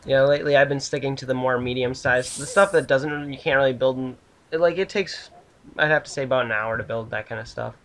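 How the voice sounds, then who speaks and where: Guy hosting a minecraft-style tour, loose rambly chat, lots of ums, mid pitch. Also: fairly even intonation, a little fast, fairly bright tone, slight background noise. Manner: rambling, hesitant, upbeat. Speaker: channel host. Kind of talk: casual gaming chat.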